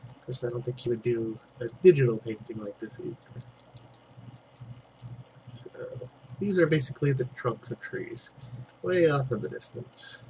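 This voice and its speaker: A man's voice: mid-range voice, moderate pace, pauses often, darkish tone, slight background noise, poor audio. A man with a congested throat giving a casual digital painting tutorial, thinking aloud as he works and dropping in fillers. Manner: chatty, thinks aloud while working, trailing fillers.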